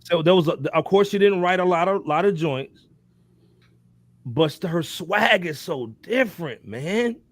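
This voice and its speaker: A man co-hosting a hip hop podcast in loose, animated casual talk, often tagging on "man" and "you know what I'm saying". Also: high voice, a little slow, no echo. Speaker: podcast co-host.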